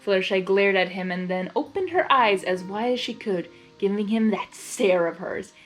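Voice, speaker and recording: A woman's voice: medium pitch, animated, normal speed, rough recording. Informal woman reading fan fiction aloud. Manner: giggly, informal. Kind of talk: expressive story reading with casual asides. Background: music.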